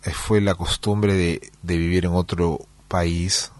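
A middle-aged man from Peru, a musician giving interview answers in a relaxed storytelling way, with many fillers and restarts. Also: low voice, slow, dry recording. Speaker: musician guest.

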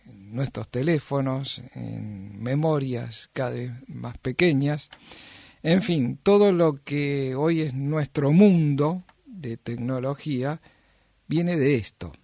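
A man giving an informal explanatory lecture, measured and hesitant, pausing as he searches for words. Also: medium pitch, a little mellow, no room sound, studio quality. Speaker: presenter giving a talk on science and music.

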